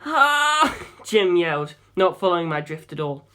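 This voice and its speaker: A male audiobook narrator with a fairly high speaking voice for a man. He reads scripted first-person adventure prose as a storyteller. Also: steady tone, a little fast.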